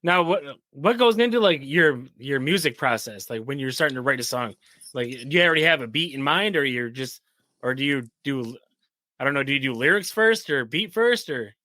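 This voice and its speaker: A man's voice: medium pitch, expressive, normal speed, pushed and bright, no room sound. A man hosting a casual interview, asking eager questions with many restarts. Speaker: podcast host.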